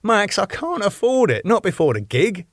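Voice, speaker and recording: An eager young British band manager, as a man reads him, persuasive and earnest. His pitch bounces about lively, and the recording is dry and close. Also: normal speed, no room sound.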